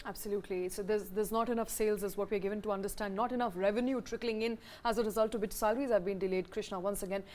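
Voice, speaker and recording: A woman's voice: mid-range voice, steady tone, racing, hardly any pauses, darkish tone. A woman with an Indian accent anchoring live news, moving between a scripted lead and questions to guests.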